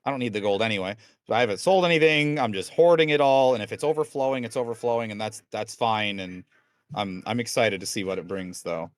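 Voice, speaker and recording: An American man talks as a guest in a relaxed chat, eager and quick with his stories. His voice is on the low side. The sound is dry, with no room around it. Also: no room sound. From United States. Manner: enthusiastic, quick stories with put-on quotes. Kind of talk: casual chat.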